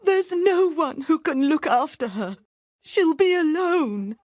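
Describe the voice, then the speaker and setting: A man voicing a frightened twelve-year-old peasant girl in a storybook reading, high and pleading. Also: expressive, slow pace, no room sound, studio quality, heavily acted.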